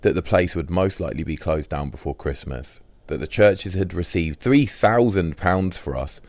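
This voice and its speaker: A man's voice: low-pitched, normal speed, a little mellow, no echo. A man reading a first-person diary as scripted audiobook narration.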